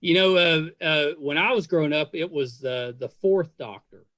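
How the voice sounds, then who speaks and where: A middle-aged man hosting a podcast, chatting casually in a halting, gushing and effusive way. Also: fairly high pitch, fairly bright tone, no room sound. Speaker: podcast host. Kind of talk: casual interview chat and sign-off.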